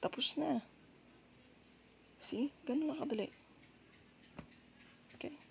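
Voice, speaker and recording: Filipino woman, girlfriend roleplay ASMR, soft and coaxing, gravelly vocal fry, reassuring, faint background noise. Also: high-pitched, slow pace. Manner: soothing, coaxing, with frequent gentle check-ins. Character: soft dominant, reassuring, apologetic girlfriend comforting her partner.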